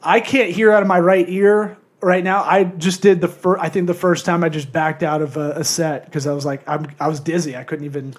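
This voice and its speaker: A man's voice: a little high, fairly even intonation, fairly quick, few pauses. A man co-hosting a podcast with casual, self-deprecating, profane comic chat and a tendency to mumble. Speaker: podcast co-host. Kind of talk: casual comedic interview chat.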